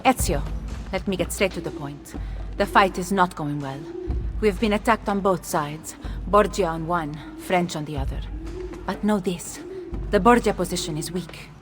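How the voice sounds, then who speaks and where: A shrewd Italian noblewoman strategist played by a woman in a video game, with a lowish voice. She speaks formally and deliberately in an Italian accent, over faint music. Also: close to an everyday voice. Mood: grave, direct. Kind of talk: video game cutscene acting.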